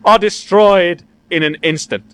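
A pompous, excitable old gentleman, played by a male narrator. He speaks in a raised, swooping voice with emphatic, domineering insistence, like a storybook patriarch. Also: a little high, a little fast, pitched above the performer's own voice. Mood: grandiose, anxious.

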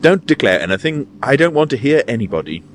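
A timid, solemn gentleman, voiced by a male storyteller. He sounds bewildered and reluctant, speaking hesitantly in a lively storybook reading. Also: medium pitch, quick pace, slight background noise, close to an everyday voice. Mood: frightened.

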